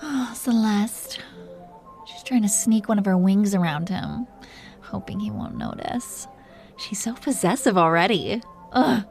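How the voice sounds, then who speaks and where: A woman playing a possessive, protective dragon queen in an intimate roleplay audio drama, teasing and coaxing the listener in soft tones that can drop toward a whisper. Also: medium pitch, sing-song, a little slow, vocal fry, soft background music. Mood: amused, smug.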